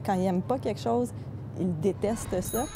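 A woman teacher with a Québécois accent speaking warmly and enthusiastically about her students in an interview. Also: mid-range voice, moderate pace, background noise. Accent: Québécois French. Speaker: college teacher.

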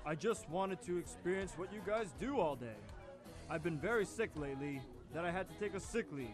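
A student actor playing a proud father of high standing who is weighed down by guilt, in a live audio drama. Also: lively intonation, a little fast. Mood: defensive. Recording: music behind, live radio studio.

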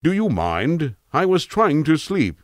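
A man voicing an elderly, highly cultured tramp, an eccentric and testy gentleman in a storybook tale. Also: sing-song, a little slow, no echo, clean studio sound. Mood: indignant. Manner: highly cultured, posh, politely indignant.

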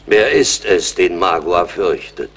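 An actor playing a venerable, weary old Delaware chief in a German radio play, speaking in solemn, ceremonious oratory. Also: male voice, sits low, animated, slow, fairly bright tone, husky, full character voice. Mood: solemn, authoritative. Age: older. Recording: faint background noise.

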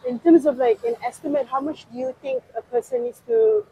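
A woman interviewing people on a city street, asking brisk, short questions while holding a handheld mic toward them. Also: very high voice, very animated, vocal fry, mellow, highs cut off. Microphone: holding a handheld mic toward others.

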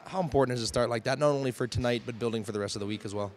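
A man interviewing players and coaches after a game, asking brisk questions. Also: mid-range voice.

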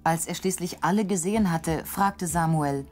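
A woman's voice: lowish voice, moderate pace, a little mellow, faint music behind. A woman narrating a scripted Bible story as a storyteller.

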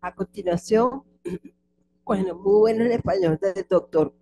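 A woman's voice: mid-range voice, measured pace, warm tone, slight background noise. A woman moderating a conference session, speaking informally and chattily as she introduces the next speaker. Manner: chatty, informal, with fillers and restarts. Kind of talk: spontaneous introduction.

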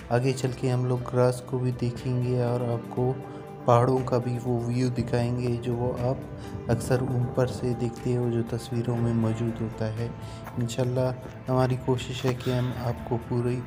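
Flat-toned man vlogging outdoors. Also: mid-range voice. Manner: flat, steady and unhurried, with many repeats. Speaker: travel vlogger. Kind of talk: casual walking vlog. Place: outdoors at a cricket ground. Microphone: phone camera held at arm's length.

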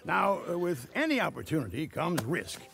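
An actor playing a rambling old trapper and tanner who talks a partner into a shady business deal, hesitating with many uhs. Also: male voice, big pitch swings, normal speed, vocal fry, fairly bright tone, heavily acted. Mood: scheming. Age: older. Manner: rambling salesman's pitch, wheedling. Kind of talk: video game cutscene acting.

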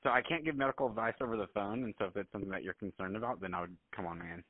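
Hesitant low-voiced man on the phone. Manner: hesitant, halting, polite. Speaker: doctor answering a patient's phone call. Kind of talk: phone consultation. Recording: tinny phone line.

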